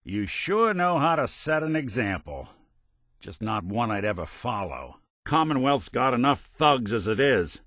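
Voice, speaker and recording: A man's voice: medium pitch, very animated, unhurried, fairly bright tone, no room sound, clean studio sound. A man voicing a weary, wry android private detective in a video game, world-weary and sardonic in an old-fashioned noir way. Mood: disappointed, sardonic.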